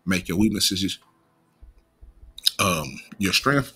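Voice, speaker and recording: Bright-voiced American man with slight stutter. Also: deep voice, lively intonation, very slow, creaky, husky. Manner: imperfect pronunciation of some words; informal, slangy, profane. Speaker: online commentary host. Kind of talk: unscripted casual commentary.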